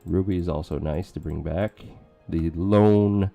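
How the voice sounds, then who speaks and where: A man casually commentating a strategy game with joking asides, his voice going hoarse. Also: sits low, steady tone, measured pace, warm tone, faint music behind. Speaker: let's play commentator.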